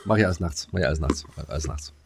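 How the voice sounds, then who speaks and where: A man's voice: extremely low pitch, very fast, vocal fry, breathy edge. A man co-hosting a podcast in casual comedic banter, rambling, repeating his phrases and joking dryly. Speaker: podcast co-host.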